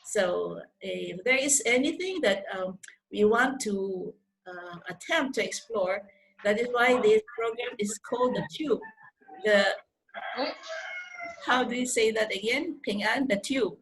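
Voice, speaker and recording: An older woman from the Philippines with a Filipino accent, giving an earnest, deliberate motivational talk as a mentor on a video call. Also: on the high side, gravelly, slight background noise, rough recording. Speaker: life coach and programme mentor. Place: indoors on a group video call. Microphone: video call microphone.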